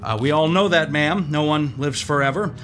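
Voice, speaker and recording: An actor playing a dry, commanding police detective in a radio drama. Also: male voice, on the high side, normal speed, a bit bright, soft background music, lightly acted. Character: tough male police detective.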